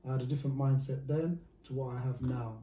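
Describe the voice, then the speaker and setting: A man's voice: medium pitch, fairly even intonation, quick pace, roomy sound, dull audio. A man leading a friendly coaching session, upbeat and joking about himself. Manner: upbeat, joking and self-mocking. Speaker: life coach.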